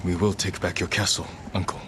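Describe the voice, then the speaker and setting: An actor playing an earnest, brooding, loyal samurai warrior in a game cutscene, with a restrained, serious delivery. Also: male voice, low voice, little pitch movement, fairly quick, noisy background, close to an everyday voice. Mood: determined. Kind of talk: dramatic game cutscene acting.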